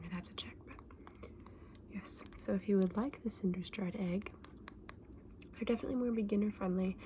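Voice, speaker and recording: A woman playing a friendly, knowledgeable fantasy egg saleswoman in an ASMR roleplay, speaking softly and often in a breathy whisper, gently explaining things to a customer. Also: fairly low pitch, slight background noise, close to an everyday voice. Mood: helpful, soft.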